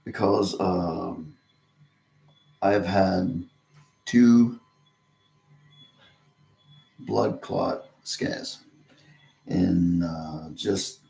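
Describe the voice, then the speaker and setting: Deep gravelly man, unhurried halting talk, roomy echo. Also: mellow. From Texas. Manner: halting, many restarts and pauses. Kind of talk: casual monologue to camera.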